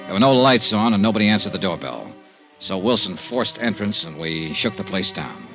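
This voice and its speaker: Deep-voiced wry radio private eye. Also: male voice, animated, normal speed, a bit bright. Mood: matter-of-fact narration. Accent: American. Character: wry, cool male private investigator narrating his case. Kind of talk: 1940s-50s radio detective acting. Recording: vintage radio drama with music behind.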